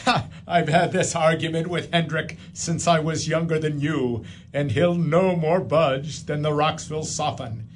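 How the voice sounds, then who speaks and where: A genial older landowner playing host at his feast, played by a man. He speaks slowly and heartily in a slightly high voice, with faint noise in the background. A radio play. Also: steady tone, natural voice. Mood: hearty. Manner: hearty, measured, welcoming. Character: genial wealthy older male Dutch landowner, host father. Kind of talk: community radio drama acting.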